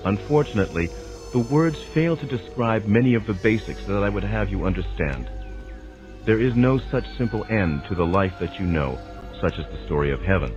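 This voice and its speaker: A man narrates a scripted, dramatized spiritual teaching in a formal, measured, didactic way. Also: lowish voice. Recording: voice over prominent music. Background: music.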